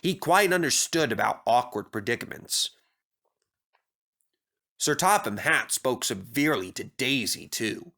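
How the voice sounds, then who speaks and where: A man's voice: medium pitch, lively intonation, measured pace, long pauses, bright, forceful tone. A man reading a scripted children's story aloud as the narrator.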